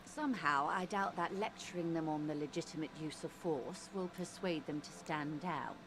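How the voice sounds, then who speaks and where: A woman voicing a composed middle-aged mediator who speaks calmly and precisely. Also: sits low, noisy background. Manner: calm, precise, measured.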